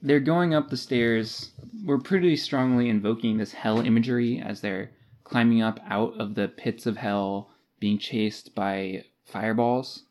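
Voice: medium pitch, fairly even intonation, measured pace, a little mellow. A podcast co-host chatting casually about books, retelling scenes in informal, slangy language. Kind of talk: casual book discussion.

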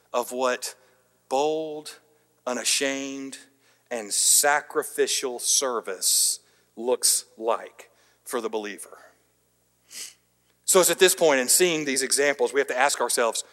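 A man preaching from the pulpit in a church sanctuary, his fairly high voice building to loud emphatic peaks and then falling away to soft endings. The sound carries a long hall reverb from the room. He breaks the earnest tone now and then with dry, self-deprecating jokes. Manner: light self-deprecating humor. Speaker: pastor. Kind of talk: sermon.

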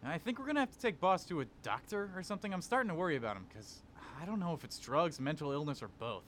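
Man playing an animated, loyal gangster henchman. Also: fairly high pitch, quick pace, background noise. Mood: concerned. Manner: urgent, deferential to his boss. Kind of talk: amateur audio drama acting.

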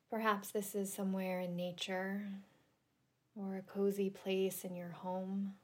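A woman leading a guided relaxation meditation in a gentle, soothing, deliberate manner with long pauses. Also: medium pitch. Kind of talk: guided yoga nidra meditation.

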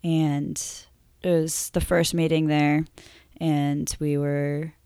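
Woman guest, low gravelly voice going hoarse, soft halting chat. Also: little pitch movement, no room sound, clean studio sound. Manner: halting, many fillers, soft-spoken. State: losing her voice. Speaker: musician guest. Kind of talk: casual interview chat.

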